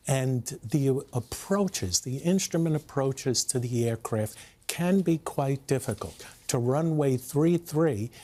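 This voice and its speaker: A man with a soft, dark-toned voice at a middling pitch talks slowly and deliberately. He explains technical matters step by step, as an expert guest in a television news interview. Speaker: aviation expert guest, former pilot.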